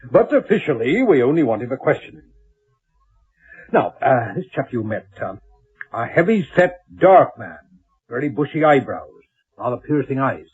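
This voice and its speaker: An actor in a radio drama playing an inquisitive, courteous police sergeant with a deliberate, probing manner. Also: male voice, mid-range voice, very animated, slightly rough. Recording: dull, muffled old radio recording.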